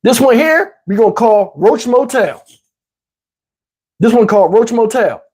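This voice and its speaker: Casting: American man, husky voice, animated streamer spinning personal tales in loose slang and playing out each side of the conversation. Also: extremely high pitch, measured pace. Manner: slangy, acts out dialogue. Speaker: livestream host telling personal stories. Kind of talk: casual animated storytelling.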